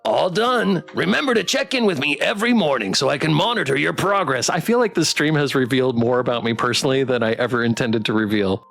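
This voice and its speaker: A man hosting a casual game livestream, reading text aloud and chatting with playful, expressive reactions. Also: fairly high pitch, quick pace, gravelly, hardly any pauses, soft background music. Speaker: game streamer and host.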